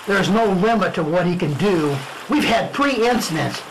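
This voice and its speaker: A man's voice: high voice, animated, normal speed, slight background noise, rough recording. A man playing an exasperated small-town police chief in a spoof radio drama, urgent and exclaiming, with music underneath. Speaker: amateur radio drama actor.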